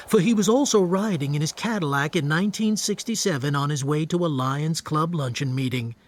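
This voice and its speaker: A man narrating a radio drama in a measured, literary storytelling manner. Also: fairly high pitch. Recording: noisy ambience under narration.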